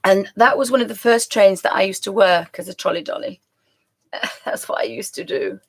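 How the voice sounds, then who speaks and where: Croaky, gravelly woman chatting on stream. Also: mid-range voice, normal speed. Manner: chatty, rambling, often laughing and trailing off. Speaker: live-stream host. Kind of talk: casual live-stream chat.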